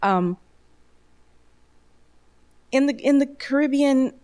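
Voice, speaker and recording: A woman's voice: high voice, takes it slowly, darkish tone. A woman born in the United States co-hosting a casual panel talk, thoughtful and conversational, often saying "you know".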